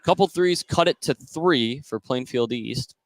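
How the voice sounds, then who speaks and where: American guy doing live radio play by play for a hoops game, medium pitch. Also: measured pace, no room sound. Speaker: play-by-play basketball announcer. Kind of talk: live sports radio commentary. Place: indoor basketball gym during a game.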